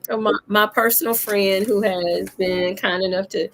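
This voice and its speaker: A woman chatting casually as a co-host, her voice made deep and hoarse by a cold, talking loosely with many pauses and laughs. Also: steady tone, slight background noise, rough recording. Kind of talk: casual chat.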